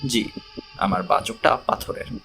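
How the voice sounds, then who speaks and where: A man voicing a polite, self-assured male professor in an audiobook, measured and orderly in his speech. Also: pushed and bright, slight background noise. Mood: composed, polite. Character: formal, self-assured male mathematics professor seeking a consultation.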